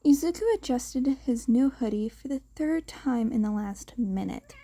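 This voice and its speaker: A woman reading scripted fiction aloud as an amateur audiobook narrator, with a halting delivery and small stumbles. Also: on the high side, expressive, mellow.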